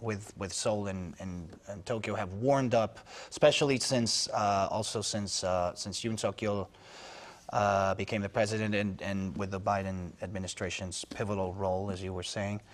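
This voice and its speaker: A man speaking as a foreign news correspondent on a news panel, analytical and halting, with many fillers and restarts. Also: lowish voice, steady tone. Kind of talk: unscripted news panel discussion.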